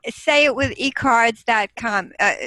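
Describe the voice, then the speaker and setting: A woman in her seventies speaks on a video call in a bright, crisp voice that sits a touch high. She sounds cheerful and laughs easily. The sound is dry and close. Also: a little slow, dry recording. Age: 70s. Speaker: call participant.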